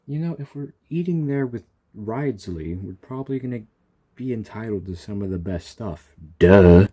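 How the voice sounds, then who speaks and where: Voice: medium pitch, expressive, moderate pace, warm tone, breathy edge. A streamer giving casual, sarcastic commentary while reacting to a video game, talking back to the characters.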